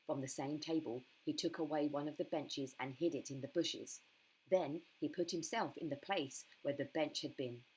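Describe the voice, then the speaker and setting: A woman reads a children's story aloud in scripted narration. Her voice sits low for a woman. It has a husky edge. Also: darkish tone, poor audio. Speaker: primary school story reader.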